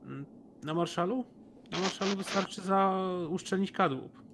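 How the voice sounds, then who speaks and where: Man, fairly high voice, tabletop player, casual planning talk, some background noise. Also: normal speed. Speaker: player in a tabletop role-playing game. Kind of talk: casual in-game planning discussion.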